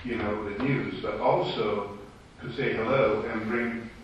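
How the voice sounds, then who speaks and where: Romanian-accented man, slow and searching for words, distant echoey room. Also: lowish voice, steady tone, muffled recording, slight background noise. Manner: hesitant, searching for English words. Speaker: participant. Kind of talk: group Bible study discussion. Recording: echoey room, far from the mic.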